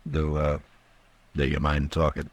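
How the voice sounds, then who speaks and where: An actor playing a stern, weary US marshal in an old-time radio western, terse and grave. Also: male voice, a bass voice, monotone, measured pace. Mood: grave, pressing. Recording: old radio drama with music.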